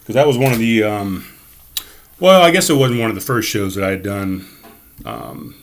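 A man hosting a casual podcast from his home studio, talking with a big, projecting voice and a rambling, relaxed flow. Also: fairly low pitch, moderate pace, creaky. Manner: loud, projecting voice. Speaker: podcast host. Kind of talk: casual chat. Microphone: studio mic on a board.

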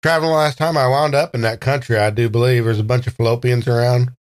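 A man's voice: medium pitch, fairly even intonation, fast talker, very noisy. Droll man chatting on a podcast. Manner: droll, joking. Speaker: podcast co-host and player. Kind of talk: casual chat.

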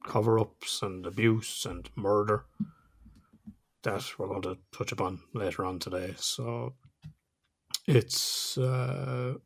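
A man's voice: on the low side, gravelly. A man with an Irish accent, co-hosting a podcast in a casual, chatty way, laughing often and swearing now and then. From Ireland. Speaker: podcast co-host.

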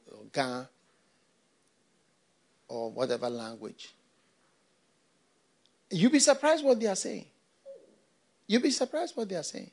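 An older pastor from Ghana delivers a sermon, his fairly high voice swinging widely up and down. He leans on repeated phrases and asks the congregation questions. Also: gravelly. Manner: preaching with repetition and rhetorical questions.

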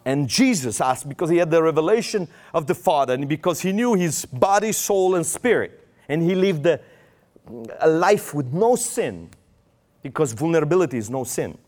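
A man's voice: on the high side, lively intonation, normal speed, darkish tone. A man preaching a sermon in English with a Romanian accent, his first language Romanian, acting out quoted prayers with raised voice.

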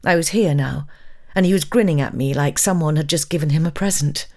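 A woman playing a lovestruck married woman, narrating her passionate secret affair in an intimate, breathy way, as in a historical romance. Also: low voice, fairly quick, a little mellow. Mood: feverish longing. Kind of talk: dramatic first-person reading of historical romance fiction.

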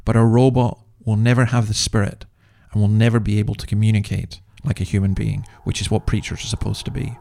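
A man's voice: lowish voice, little pitch movement, fairly quick, gravelly, few pauses, mellow, a little hiss or hum. A man hosting a podcast with informal commentary, talking in a conversational, rambling way and correcting himself as he goes. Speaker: podcast host.

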